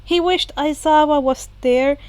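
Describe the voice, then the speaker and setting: A woman narrating a piece of fan fiction in an expressive amateur storytelling style. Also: high voice, a little slow, no echo. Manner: acts out characters' dialogue.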